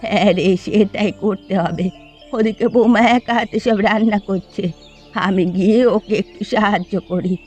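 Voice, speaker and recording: A woman's voice: medium pitch, steady tone, normal speed, a little mellow, close to an everyday voice. Woman acting proud elderly village mother, music behind. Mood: sad, grateful. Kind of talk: Bengali cartoon dialogue.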